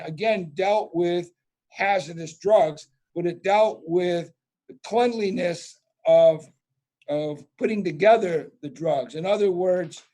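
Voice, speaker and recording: A man with a fairly high voice that still sounds mellow and soft-edged. He explains things step by step to an online audience, with plenty of "uh" and "you know" as he goes. It sounds like he is speaking over a video call. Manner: explanatory, many fillers and restarts. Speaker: presenter. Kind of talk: webinar presentation.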